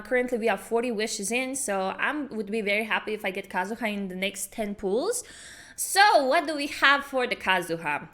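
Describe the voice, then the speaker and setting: A woman hosting a casual gaming reaction video, chatting in an excitable, giggly way. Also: on the high side. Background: game music.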